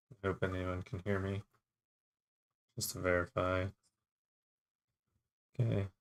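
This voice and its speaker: A man hosting a casual fly tying livestream, a little raspy from yelling, chatting easily while setting up his gear. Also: fairly low pitch.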